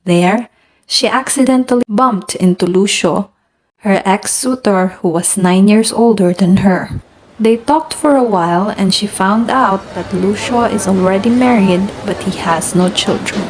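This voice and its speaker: A woman's voice: medium pitch, unhurried, a little hiss or hum, rough recording. A woman giving scripted narration as the storyteller of a radio drama. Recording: music behind the voice.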